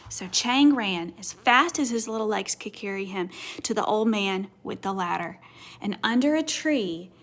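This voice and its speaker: A woman reading a story aloud to children, teacherly, asking questions and dropping into hushed asides. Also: medium pitch, lively intonation, moderate pace. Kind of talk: animated read-aloud with asides to young listeners.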